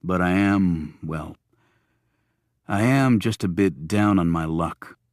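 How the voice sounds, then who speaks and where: A disheveled, dignified man down on his luck , voiced by a male audiobook narrator. He speaks in a low, measured way. His phrasing is formal and precise, and the sound is dry. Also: no echo. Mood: indignant, hesitant.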